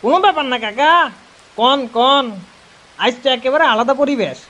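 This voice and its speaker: A man's voice: very high voice, normal speed, pushed and bright, highs cut off, a little hiss or hum, voice pushed up. A man voicing a chatty, teasing young village friend in an audio story. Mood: chatty, casual.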